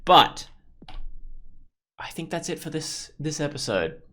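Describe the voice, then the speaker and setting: A man's voice: fairly high pitch, very animated, slow pace, bright, forceful tone. A man hosting a game walkthrough, giving casual, informal, rambling commentary as he plays. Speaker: gaming video host.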